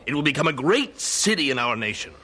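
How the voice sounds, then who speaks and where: An actor playing an earnest, genial 19th-century American evangelist in an audio drama, with a folksy, persuasive way of talking. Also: male voice, a little high, a little fast, slight background noise. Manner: animated, folksy storytelling.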